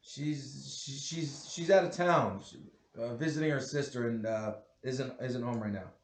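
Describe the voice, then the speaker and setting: An actor at a table read playing a panicked, guilty husband with a nervous, hesitant way of talking. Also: male voice, medium pitch, measured pace, close to an everyday voice. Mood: nervous, evasive. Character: panicked, guilty husband turned killer, stammering and pleading. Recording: roomy echo with faint background noise.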